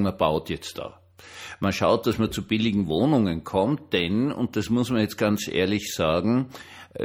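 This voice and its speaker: Older austrian guy from vienna, deep voice, pastor type talking off the cuff, opinionated, stops and starts. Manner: hesitant, emphatic, opinionated. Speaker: pastor and online diary host. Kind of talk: informal spoken monologue, personal commentary.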